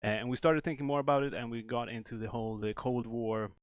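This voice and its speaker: A man from Sweden, a game developer explaining at length in a stream interview, with many pauses and restarts. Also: medium pitch, fairly even intonation, no room sound, muffled recording.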